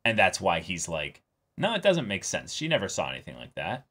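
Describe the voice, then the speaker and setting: Male reaction co-host, lowish voice, animated and rambling, casual fan chat with bits of imagined dialogue. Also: brisk, slightly rough. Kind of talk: casual excited chat about an anime episode.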